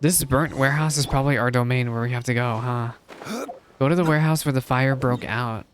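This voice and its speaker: A streamer with a mid-pitched voice reacting live to a game. The talk is casual, full of questions and thinking out loud. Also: fairly quick, slight background noise. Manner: musing aloud, questioning.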